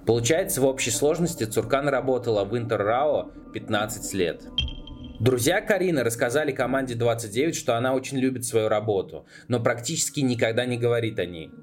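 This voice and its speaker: A male podcast narrator reads a scripted documentary piece. His voice sits at an average male pitch. Music plays behind him. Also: animated, a little fast. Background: background music.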